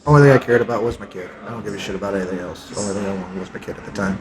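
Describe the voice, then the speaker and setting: A man's voice: on the low side, rapid-fire, a crowd in the background. Blunt, profane man in his forties. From Texas. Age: 40s. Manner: blunt, swears freely, jokey. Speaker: podcast guest, soldier. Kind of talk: casual podcast chat. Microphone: podcast mic.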